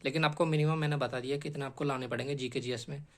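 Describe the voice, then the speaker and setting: A man's voice: medium pitch, fairly even intonation, very fast. A man explaining exam strategy like a coaching teacher, repeating key points emphatically and often checking in with short tag questions.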